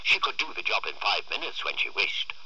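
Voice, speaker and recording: A man reading a literary short story aloud in a theatrical, expressive way. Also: fairly low pitch, fairly quick, husky, dry recording, highs cut off. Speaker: story reader.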